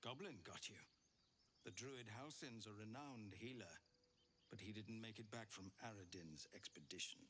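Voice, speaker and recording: An actor playing a weary, earnest leader of refugees in a video game, speaking in a measured, grave, pleading way. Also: male voice, fairly low pitch, a little hiss or hum. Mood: concerned, bitter. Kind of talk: dramatic video game dialogue. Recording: loud music behind the voice.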